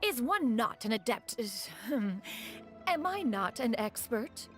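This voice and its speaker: A woman's voice: fairly high pitch, big pitch swings, unhurried, faint music behind. A woman playing a lofty, self-assured immortal adept in a video game, speaking in a formal, archaic and haughty manner.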